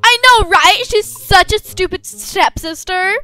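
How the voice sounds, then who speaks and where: A young female plays a bratty, spoiled schoolgirl in a kids' game roleplay. The voice is very high and bright, whiny and mocking, with music behind it. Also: measured pace, exaggerated voice. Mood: smug, taunting. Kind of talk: improvised children's game roleplay.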